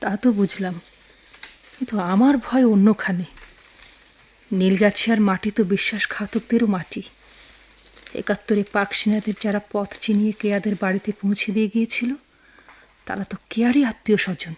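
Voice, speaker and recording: Woman acting a worried caring bangladeshi sister-in-law in a radio drama, medium pitch, homely way of talking. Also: fairly even intonation, normal speed, pauses often, husky, lightly acted.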